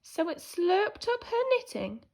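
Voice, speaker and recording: Very animated, high-voiced woman storyteller. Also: measured pace. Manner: animated, theatrical storytelling with hushed and emphatic swings. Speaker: primary school teacher reading a story. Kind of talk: expressive children's picture-book read-aloud.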